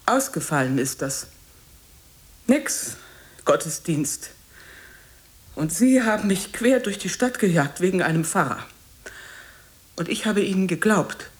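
Slow, bitter old woman. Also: fairly low pitch, animated. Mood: indignant, reproachful. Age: older. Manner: halting, repetitive, reproachful. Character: bitter, scolding old woman who wants solitude. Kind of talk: radio drama acting.

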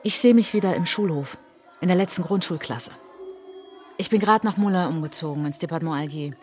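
An actress playing a reflective French woman traveller in a radio play monologue, speaking in a measured, intimate, literary way. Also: lowish voice, a little mellow, soft background music, natural voice. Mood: lonely, hurt.

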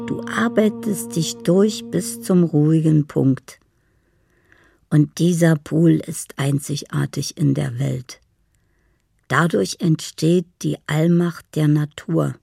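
An actress playing a dreamy, poetic woman in a radio drama monologue, musing in a gravelly voice over music. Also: sits low, slow, mellow, no room sound, studio quality, exaggerated voice. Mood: tender musing. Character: dreamy, poetic woman living in her imagination.

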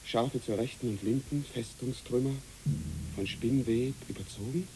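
A man's voice: medium pitch, moderate pace, darkish tone, close to an everyday voice, poor audio. Guy playing an earnest soldier giving a poetic report to his captain, radio drama, bit of noise behind. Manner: formal report turning lyrical. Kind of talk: literary radio play acting.

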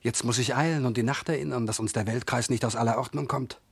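A husky, brusque rogue of a husband. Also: male voice, medium pitch, steady tone, fast talker. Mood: wry. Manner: brusque, mocking. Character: gruff, unromantic middle-aged servant husband, a dry rogue. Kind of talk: classical comic verse radio drama.

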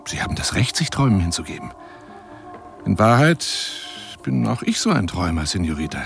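A man's voice: fairly low pitch, big pitch swings, a little fast, vocal fry, faint music behind. An actor playing a boastful, lonely male adventurer who courts a woman in florid, courtly speech in a German radio play monologue. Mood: dreamy, courtly. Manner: florid, courtly, insistent, swinging between whisper and exclamation.

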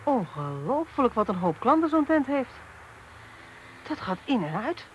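An actress playing a brisk, caring but impatient policewoman in a naturalistic radio play, direct and down-to-earth. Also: on the high side, sing-song, dull audio. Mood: bored, muttering. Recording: radio drama with background noise.

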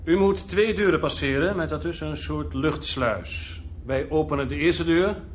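An actor playing a brusque, condescending security chief in a crime radio drama. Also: male voice, fairly high pitch, normal speed, lightly acted. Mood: sardonic, ordering. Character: male security chief, brusque, smug, controlling. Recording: noisy archived radio drama recording.

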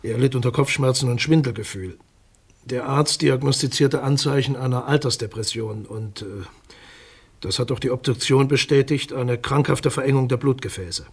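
Sober, guarded public prosecutor. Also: male voice, mid-range voice, normal speed, husky. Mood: factual, firm. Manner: formal, precise, matter-of-fact officialese. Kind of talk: naturalistic German radio crime drama.

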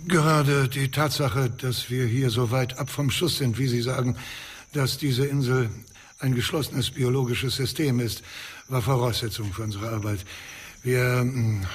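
A man's voice: mid-range voice, fairly even intonation, moderate pace, natural voice. An actor as a dry, patronising male researcher. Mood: explanatory, calm. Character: measured, condescending male researcher on remote island. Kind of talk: German radio drama acting. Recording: background noise, chirping crickets.

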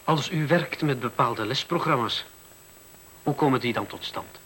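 An old radio drama recording with light hiss. An actor plays a male visitor with a mid-pitched voice, asking questions in a measured, puzzled and politely sceptical way. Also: a bit bright, close to an everyday voice, rough recording.

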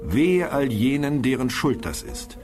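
A man's voice: medium pitch, big pitch swings, measured pace. A man acting a solemn professor who proclaims an ancient curse in a portentous radio-play delivery. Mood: ominous, foreboding. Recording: audio drama with loud music behind.

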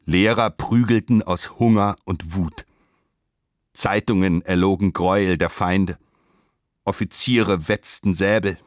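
Male narrator for literary radio drama. Very low, unhurried, emphatic and measured, in a dry studio. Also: fairly even intonation, dry recording. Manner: measured, declamatory, emphatic phrasing.

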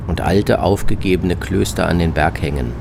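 A man reads a scripted, literary radio travelogue in a measured, reflective manner with clipped descriptive phrases. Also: deep voice, creaky, noisy background. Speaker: reporter and narrator of a radio travel feature.

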